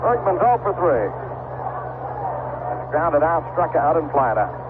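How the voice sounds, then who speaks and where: An American man calling baseball play-by-play for radio in short, clipped, measured phrases. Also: on the high side, big pitch swings. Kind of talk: live radio play-by-play commentary. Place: ballpark radio broadcast. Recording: noisy vintage AM radio broadcast, narrow band.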